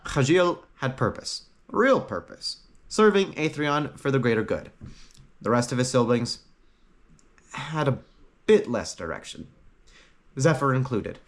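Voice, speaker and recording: A man narrating a fantasy story from a script, with dramatic pauses and short emphatic phrases. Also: mid-range voice, big pitch swings. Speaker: audiobook narrator.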